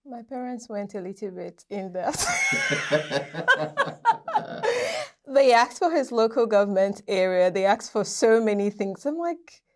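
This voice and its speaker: Young woman guest, lively and laughing. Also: mid-range voice, takes it slowly, pauses often. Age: young adult. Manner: lively, laughs while telling stories. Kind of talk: casual interview chat.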